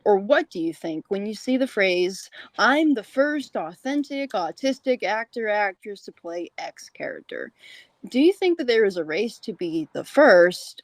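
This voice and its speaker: A woman hosts a casual interview in a chatty, conversational way. Her voice sits at an average pitch. The recording is dry, with very little room sound. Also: no room sound. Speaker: interview show host.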